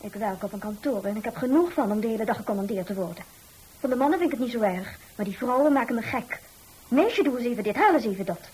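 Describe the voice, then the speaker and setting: A feisty, humorous young working girl in a radio drama. Her voice is a bit high, soft-edged and strongly breathy-husky. She speaks quickly, bluntly and with emphasis. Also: animated, low-quality recording. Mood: indignant. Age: young adult. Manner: emphatic, indignant, plain-spoken. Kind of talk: radio drama dialogue in Dutch.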